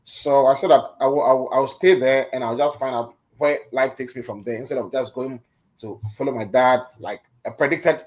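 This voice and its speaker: A man's voice: medium pitch, fairly even intonation, a little fast, low-quality recording. A middle-aged man, speaking earnestly as a radio interview guest and often restarting his phrases. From Ghana.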